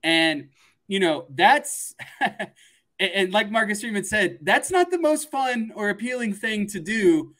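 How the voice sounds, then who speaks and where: A man's voice: high-pitched, animated, measured pace, pushed and bright. A man giving casual sports analysis on a podcast, explaining things and repeating phrases for emphasis.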